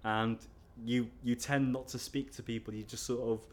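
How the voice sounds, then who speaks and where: A middle-aged man with a Scouse accent answers interview questions at a mid pitch. He rambles in a casual, chatty way, full of fillers and restarts. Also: fairly even intonation, normal speed. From North Wales.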